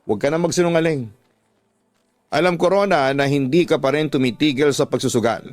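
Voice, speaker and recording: A man's voice: fairly high pitch, a little fast, no echo, close to an everyday voice. A Filipino man playing an aggrieved, accusing working husband in a radio drama. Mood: scolding.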